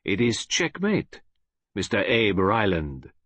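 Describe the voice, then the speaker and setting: Guy doing a polite clever detective for an audiobook, calm and sure, slightly husky, very sing-song. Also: lowish voice, slow pace, no room sound, heavily acted. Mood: triumphant, assured. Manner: gentle, precise, self-assured. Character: gentle, self-possessed foreign male detective, courteous and shrewd. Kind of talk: audiobook character voice.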